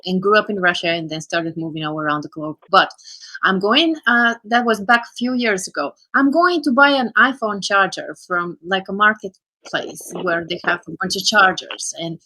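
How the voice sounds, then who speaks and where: A woman's voice: mid-range voice, normal speed, pushed and bright, dry recording, poor audio. A woman from Bulgaria chatting casually and frankly on a group call, swearing now and then. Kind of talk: casual chat.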